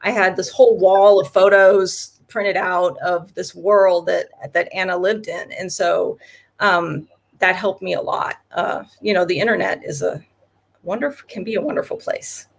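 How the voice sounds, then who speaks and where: A woman's voice: lowish voice, steady tone, measured pace, gravelly. A woman whose first language is German, an author answering interview questions in a chatty way, with many fillers and restarts.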